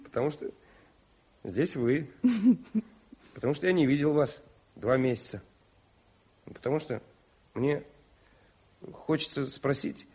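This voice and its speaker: A man's voice: fairly high pitch, lively intonation, slow, long pauses, breathy edge, heavily acted. An actor playing a playful, smitten young man in a radio play, teasing and witty with some hesitation. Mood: tender, shy. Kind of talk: 1980s Soviet radio play acting. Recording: muffled 1980s radio drama recording.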